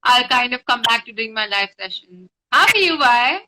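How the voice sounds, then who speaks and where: A woman's voice: fairly high pitch, lively intonation, moderate pace, bright, forceful tone, rough recording. A woman spiritual guide talking informally and explaining things on a live stream, recorded on a phone with faint noise. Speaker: spiritual guide and meditation teacher.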